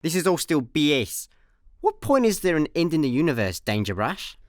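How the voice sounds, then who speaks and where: Man doing wry slacker in a sci-fi radio comedy, droll, unimpressed, really animated pitch. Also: normal speed, no echo, studio quality. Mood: mock indignant. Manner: droll, unimpressed, mock-dramatic. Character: laid-back, wry male space layabout on the run. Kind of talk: comic sci-fi radio play acting.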